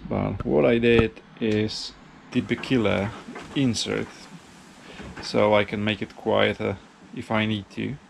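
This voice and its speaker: A man casually narrating a DIY vlog in an informal, halting, self-deprecating way. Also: on the low side, level tone, vocal fry, slight background noise. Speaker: motorcycle hobbyist, video host.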